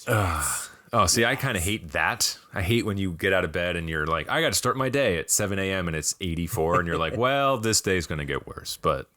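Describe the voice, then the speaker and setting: An American man with a slightly raspy voice, chatting casually and with some self-deprecation as the host of a video game podcast. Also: deep voice, animated, a little fast, hardly any pauses, bright, forceful tone, no echo, clean studio sound.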